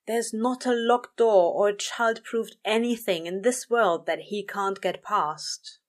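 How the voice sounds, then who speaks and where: A woman's voice: mid-range voice, natural voice. Woman voicing a weary, petulant male demon, drawling. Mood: whining, dramatic. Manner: drawn-out, exasperated grumbling.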